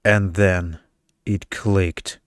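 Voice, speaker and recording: Male narrator for ASMR bedtime fiction; low, unhurried, smooth, scripted read. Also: steady tone, dry recording, studio quality. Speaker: story narrator.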